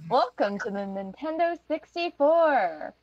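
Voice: high voice, expressive, unhurried, a little mellow, dry recording. A performer voicing a weary, sarcastic cartoon circus resident in an amateur fan dub, drawling sardonically on a dull mic. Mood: sardonic.

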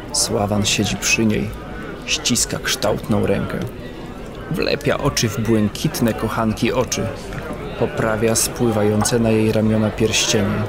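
A man narrating a scripted legend in a literary, dramatic storytelling manner. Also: lowish voice.

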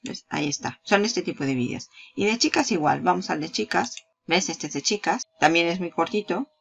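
A chatty woman talks the viewer through a sales page in an easy vlog voice-over. Her voice sits a little low and has a gravelly fry. The recording sounds dull and lo-fi. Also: expressive, moderate pace. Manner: chatty, laughs at herself, addresses viewer directly. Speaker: marketing YouTuber. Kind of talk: casual screen-share product walkthrough.

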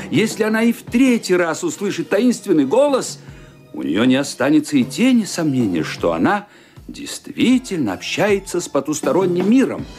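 Expressive male radio play narrator. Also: high-pitched. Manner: expressive, dramatic, suspenseful. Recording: music under the narration.

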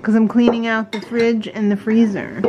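Middle-aged woman, fairly high voice, slow casual kitchen chat, clear background noise. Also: level tone, dull audio. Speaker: vlogger's mother. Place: home kitchen, indoors. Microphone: handheld camera at a short distance. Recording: noisy home camera audio.

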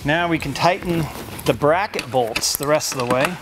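A man in his forties in a garage workshop, explaining a rooftop tent install step by step in a relaxed, practical way. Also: fairly high pitch, moderate pace, heavy background noise. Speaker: off-road shop installer. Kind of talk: how-to install demonstration.

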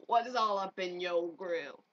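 Girl doing a chill dopey stoner troll dude, pitched down, sweary, lo-fi webcam. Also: unhurried, a bit bright. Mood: chilled, affectionate. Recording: dull lo-fi webcam audio.

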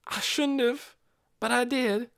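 A woman's voice: a little high, takes it slowly, vocal fry, pitched above the performer's own voice. A woman voicing a guilty, wounded teenage girl in an audiobook, plaintive and pleading, with folksy, uneducated grammar. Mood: remorseful.

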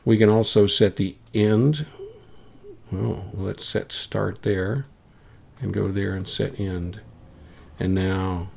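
A man presenting a casual software tutorial, thinking aloud informally as he demonstrates. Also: deep voice, steady tone, takes it slowly, vocal fry, pauses often. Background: solo acoustic guitar clips playing.

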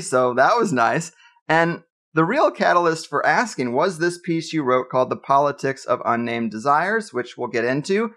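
A man with a high voice hosts a podcast. He reads his introduction with dramatic emphasis, then eases into relaxed questions for his guest. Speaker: podcast host. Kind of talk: scripted intro then casual interview.

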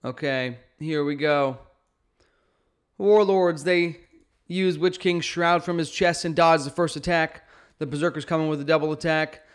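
A man's voice: on the high side, steady tone, unhurried, smooth. A man gives casual strategy commentary on a game, emphatic and often checking in with the listener. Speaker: gaming video host. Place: indoor room at home.

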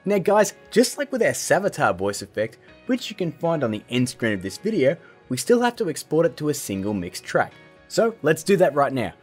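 A man's voice: a little high, wildly swooping pitch, fairly quick, a little mellow, slightly rough, faint music behind. A man in his thirties hosting a filmmaking tutorial in a playful, casual, emphatic way. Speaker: host of a filmmaking tutorial show. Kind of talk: playful scripted tutorial to camera.

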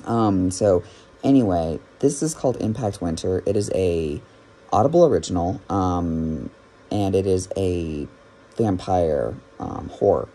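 Bright-voiced young man, rambling casually. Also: deep voice, animated, unhurried. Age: young adult. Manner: wry, self-deprecating asides. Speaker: podcast reviewer. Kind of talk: casual rambling review to camera. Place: small room indoors. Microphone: phone at arm's length. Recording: clear background room noise.

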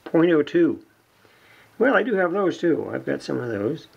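A man giving unscripted, casual narration of hands-on repair work, halting and muttering to himself as he goes. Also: a little high, sing-song, creaky, a little mellow, slightly rough, muffled recording. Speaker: hobbyist radio repairer, video host. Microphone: camera mic near the workbench.

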